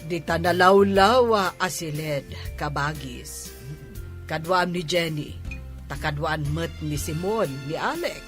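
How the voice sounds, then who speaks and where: A woman's voice: sits low, slow pace, faint music behind. An actress playing a married village woman in an Ilocano radio drama, with an Ilocano accent. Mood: reminiscing. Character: slow-talking married village woman, reminiscing matron.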